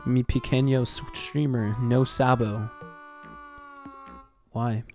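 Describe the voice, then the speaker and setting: An American man livestreaming in casual chat, excitable and sweary, reacting and reading things aloud. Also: medium pitch, slow, faint music behind. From Texas, United States. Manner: excitable, swearing, jumps from muttering to shrieks. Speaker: livestream host.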